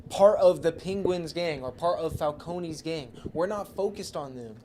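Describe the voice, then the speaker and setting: A man's voice: a little high, normal speed, a crowd in the background. A man co-hosting a podcast, debating casually and arguing his case point by point. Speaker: podcast co-host. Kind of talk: casual debate about movies.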